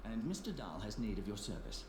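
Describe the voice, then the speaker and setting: An actor playing a polished, formal male intermediary who speaks in measured, careful phrases. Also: lowish voice, sing-song. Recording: roomy game audio with background noise.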